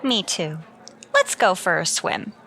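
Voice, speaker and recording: A woman playing a wry, lovestruck high school teacher in an old-time radio comedy, with a dry, sly manner. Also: huge pitch swings, slow, crowd noise, full character voice. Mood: wry, coaxing. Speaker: lead actor and narrator. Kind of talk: old-time radio comedy acting, first-person narration.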